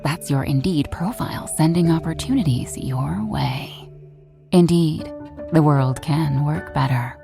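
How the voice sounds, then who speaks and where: Female commercial voice, low with vocal fry, reassuring scripted read over music. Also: unhurried. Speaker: advertisement announcer.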